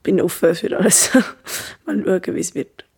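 A woman's voice: lowish voice, unhurried, a little mellow, slightly rough. A sixteen-year-old girl with a Swiss German accent in casual interview talk. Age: 16, teenager.

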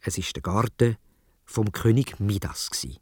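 A man with a Swiss German accent tells a children's story in a husky voice. His delivery is playful and very melodic, with soft music behind him. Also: on the low side, unhurried, no echo. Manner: expressive, playful telling.

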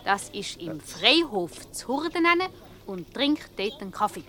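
An actress playing a playful, cunning, flirtatious wife in a radio comedy, teasing and self-assured, with a Swiss German accent. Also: female voice, mid-range voice, big pitch swings, a little slow, bright, forceful tone, a little hiss or hum. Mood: sly, flirtatious. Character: playful, cunning, flirtatious married woman fooling a detective.

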